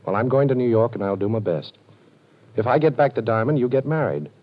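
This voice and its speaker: An actor playing a cool, wisecracking ex-con detective in a 1940s radio drama, wry and self-assured. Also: male voice, medium pitch, expressive, fairly quick, close to an everyday voice. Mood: brisk. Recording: dull lo-fi old radio broadcast.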